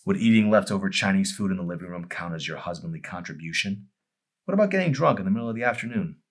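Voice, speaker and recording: A man's voice: low voice, expressive, fast talker. A man voicing a bitter, fed-up wife in an audiobook, cutting, sarcastic and emphatic. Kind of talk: dramatic audiobook character acting.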